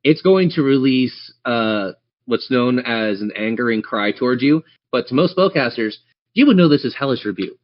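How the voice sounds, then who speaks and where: A man's voice: medium pitch, expressive, moderate pace, lo-fi sound. A man running a tabletop game as game master, narrating the action and chatting encouragingly with players.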